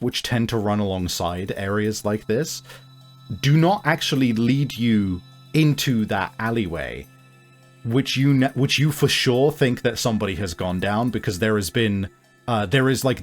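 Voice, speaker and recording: A man improvising narration as game master of a tabletop game, in a halting, conversational way. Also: lowish voice. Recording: music behind the voice. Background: music.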